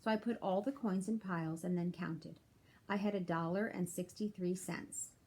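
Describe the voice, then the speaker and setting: A woman's voice: on the low side, fairly even intonation, moderate pace, a little mellow, breathy edge. A woman reading a children's chapter book aloud like a teacher, with simple explanatory asides for young listeners.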